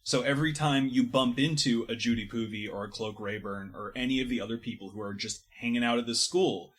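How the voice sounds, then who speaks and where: A man on a podcast panel discussing literature casually, analytical and wordy, often halting and repeating himself. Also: mid-range voice, fairly bright tone, slightly rough.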